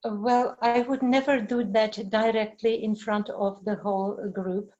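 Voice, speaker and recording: A middle-aged woman with a Czech accent, a native Czech speaker, teaching in an informal webinar with halting, searching delivery. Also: a little high, fairly even intonation, warm tone, muffled recording. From Czech Republic. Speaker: language teacher giving a webinar. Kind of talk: informal lecture with explanations and answers.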